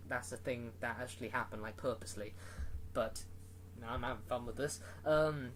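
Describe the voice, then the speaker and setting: A man's voice: mid-range voice, a little hiss or hum, low-quality recording. A man giving a casual, rambling movie review, full of fillers and restarts.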